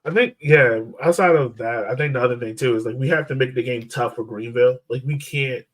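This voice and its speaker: A man's voice: medium pitch, a little fast. A man hosting a sports podcast in casual, conversational soccer chat.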